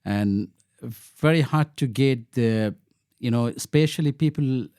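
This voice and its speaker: A man from Bangladesh, a local councillor, answering interview questions in a halting way with many fillers and restarts. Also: mid-range voice, warm tone, smooth, no room sound, clean studio sound.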